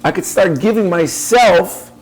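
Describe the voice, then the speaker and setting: A man's voice: high-pitched, unhurried. A man giving a reflective coaching talk, earnest and probing, speaking directly to the listener. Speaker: speaker on personal growth.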